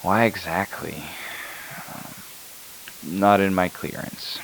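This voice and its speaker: A man playing a weary, uneasy night-shift scientist, recording a halting, clinical yet introspective audio log for an analog horror drama. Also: sits low, steady tone, creaky, heavily acted, poor audio. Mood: hesitant, brooding. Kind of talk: scripted found-footage horror audio log. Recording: hissy analog tape with static.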